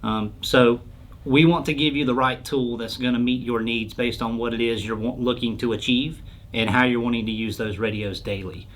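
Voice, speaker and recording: A man's voice: mid-range voice, steady tone, moderate pace. A man answering questions as a sales expert in an informal explainer interview, speaking in a plain, explanatory way.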